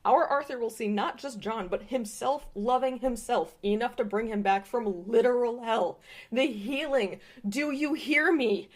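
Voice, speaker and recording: High-voiced woman, dramatic and impassioned. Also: unhurried. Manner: dramatic, emphatic, swears. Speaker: listener sending a voice message. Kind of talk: impassioned fan theorizing monologue.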